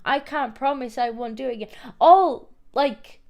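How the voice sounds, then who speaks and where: Scottish woman co-host, slightly high voice, casual, giggly. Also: gravelly, a crowd in the background. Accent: Scottish, softened by years in England. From Scotland. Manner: laughs often, quick asides. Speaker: stream co-host. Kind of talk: casual chat.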